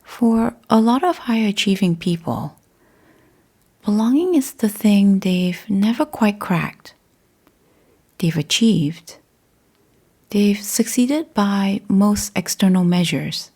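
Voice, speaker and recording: Very slow, hushed woman guiding meditation. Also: medium pitch, lively intonation, husky. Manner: measured, hushed, deliberate with long pauses. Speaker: guided meditation host. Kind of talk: slow guided meditation narration.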